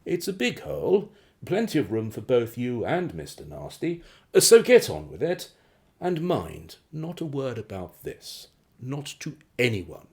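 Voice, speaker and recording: Slow, theatrical, suave crime boss. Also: male voice, medium pitch, wildly swooping pitch, darkish tone. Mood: silky menace. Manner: theatrical, condescending, chuckling politeness with drawn-out phrases. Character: suave, menacing, drawling male crime boss, mockingly genteel. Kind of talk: radio play acting.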